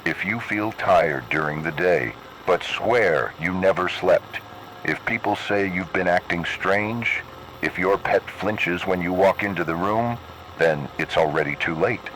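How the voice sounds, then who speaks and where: A grave emergency broadcast announcer, played by a man, with a low, bright-edged voice. He gives measured, scripted horror warnings as instructions, over faintly noisy radio-like audio. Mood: ominous, grave.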